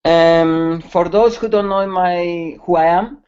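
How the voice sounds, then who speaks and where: A man's voice: sits high, slow pace, a little mellow, smooth. A man from Spain with a Spanish accent, giving a webcast security talk in English, hesitant with many fillers and restarts. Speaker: security conference speaker. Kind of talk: webcast presentation. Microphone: computer mic on a video call. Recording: dull lo-fi webcast audio.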